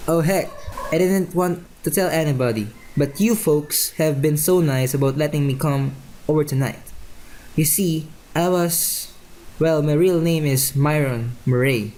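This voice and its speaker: Actor playing thirteen-year-old boy, science whiz, modest, polite, folksy, medium pitch, radio drama. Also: unhurried, mellow, close to an everyday voice. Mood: bashful. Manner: polite, earnest, folksy exclamations. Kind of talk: radio play acting.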